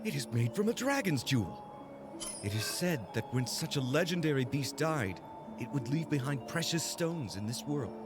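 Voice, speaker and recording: A man's voice: mid-range voice, big pitch swings, moderate pace, over music, natural voice. A man voicing a stern, possessive royal fiancé. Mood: commanding. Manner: formal, stern and commanding. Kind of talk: game cutscene voice acting.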